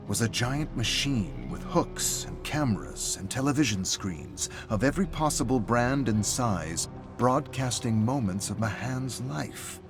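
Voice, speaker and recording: Low-pitched man narrating a dramatic story. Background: music.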